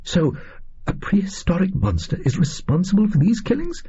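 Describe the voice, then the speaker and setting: A man playing a sceptical Victorian gentleman, the detective's indignant and incredulous companion, in an audiobook mystery. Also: high-pitched, huge pitch swings, normal speed, breathy edge, muffled recording, pitched above the performer's own voice, heavily acted.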